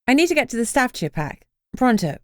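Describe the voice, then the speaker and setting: A woman's voice: fairly high pitch, big pitch swings, fast, a bit bright, no room sound, clean studio sound, voice pushed up. Woman voicing a brash, cheeky young heroine. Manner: emphatic, irreverent.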